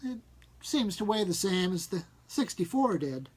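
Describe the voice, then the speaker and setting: A man voicing a fat little older experimenter in a story, excitable and halting in his speech. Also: high voice, husky, pitched above the performer's own voice, exaggerated voice. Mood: calming, thoughtful. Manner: halting, exclamatory, swinging from agitated to thoughtful.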